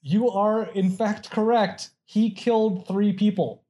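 A man's voice: sits high, steady tone, takes it slowly, a loud crowd. A man casually chatting and reading out odd facts, rambling with many restarts and frequent laughs.

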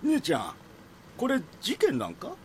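Blunt Kansai local, wildly swooping intonation. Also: male voice, extremely high pitch, huge pitch swings, moderate pace, gravelly, slight background noise, heavily acted. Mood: recalling. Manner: blunt, casual. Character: blunt local man, brusque, matter-of-fact householder. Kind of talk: Japanese audio drama dialogue.

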